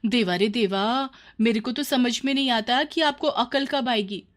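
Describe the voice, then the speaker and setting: A woman's voice: a little high, unhurried, pitched above the performer's own voice, lightly acted. Woman voicing worried, scolding village wife. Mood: exasperated worry. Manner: pleading, scolding, exasperated. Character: worried, sensible, nagging village farmer's wife. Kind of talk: Hindi cartoon moral story voice acting.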